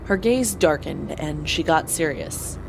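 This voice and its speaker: Woman as young space pilot narrating a radio drama, earnest, very expressive, noisy background. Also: low-pitched, a little slow, full character voice. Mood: reflective narration. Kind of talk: first-person audio drama narration. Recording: clear vehicle ambience behind voice.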